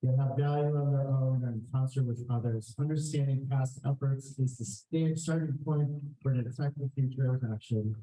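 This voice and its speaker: A man moderating a community forum, speaking haltingly with frequent pauses and fillers. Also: medium pitch, fairly even intonation, dark tone. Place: community meeting room. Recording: echoey room.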